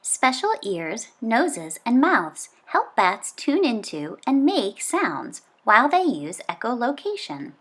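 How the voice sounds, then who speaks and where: A woman reading a children's nonfiction book aloud, expressively and with clear phrasing for young listeners. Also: a little high, very animated, slow, hardly any pauses.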